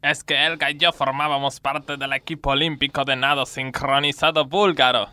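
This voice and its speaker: A man plays an earnest Bulgarian shopwoman in a radio sketch. The voice is very sharp and forceful at a middling male pitch, with emphatic delivery. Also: level tone, moderate pace, smooth, no echo. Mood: earnest, proud. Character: earnest Bulgarian woman greengrocer, former synchronised swimmer. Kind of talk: radio sketch comedy acting.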